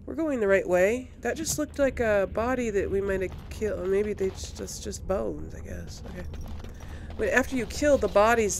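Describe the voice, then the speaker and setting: A woman giving casual let's play commentary on a video game, thinking aloud in an unhurried way. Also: medium pitch, gravelly, a little mellow, slight background noise. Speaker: gamer, series host.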